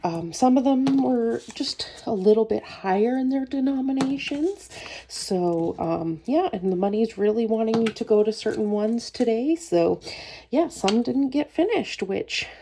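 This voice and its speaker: A woman's voice: a little high, animated, a little slow, warm tone, a little hiss or hum. A woman with a cold and a sore throat, chatting casually through a budgeting vlog in a friendly, rambling way. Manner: friendly, rambling, frequent ums. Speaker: budgeting vlogger.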